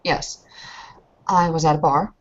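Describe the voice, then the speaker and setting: A woman playing an earnest, shaken, underage young woman in a tabletop roleplaying game, halting and hesitant as she speaks. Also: deep voice, steady tone, dull audio. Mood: upset, defensive.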